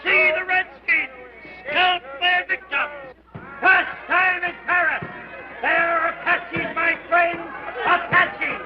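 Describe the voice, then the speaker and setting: An excited fairground gawker. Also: male voice, extremely high pitch, slow. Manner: excited, exclaiming. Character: excited male fairground visitor, gawking and boisterous. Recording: lo-fi old film soundtrack with crowd and music.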